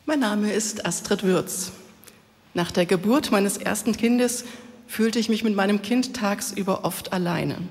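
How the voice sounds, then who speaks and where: A middle-aged woman giving a gentle, deliberate testimony during a church service. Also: medium pitch. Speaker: editor of the parish newsletter. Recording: long church reverb.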